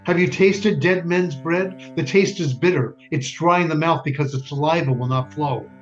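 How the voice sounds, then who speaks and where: A man acts out a bereaved wartime father on stage, solemn and deliberate, his voice a little high for a man. He phrases heavily and pauses often, tender yet stern. The recording is slightly muffled. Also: lightly acted. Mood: bitter, mournful. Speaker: stage actor in community theater. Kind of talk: dramatic stage monologue, solemn narration. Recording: dull lo-fi recording, music underneath.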